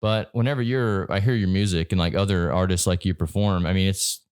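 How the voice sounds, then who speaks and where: Brief: young male podcast host, rapid-fire, low-pitched, blunt, dry close sound. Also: fairly even intonation, darkish tone, clean tone, no echo. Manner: blunt, profane, rapid talk. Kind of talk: casual chat. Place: bar.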